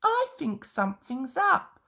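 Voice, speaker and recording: A woman voicing a small, friendly, chatty male engine with a squeaky voice in a storybook. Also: sing-song, slow pace, creaky, sharp tone, heavily acted. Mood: curious. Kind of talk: storybook character voice.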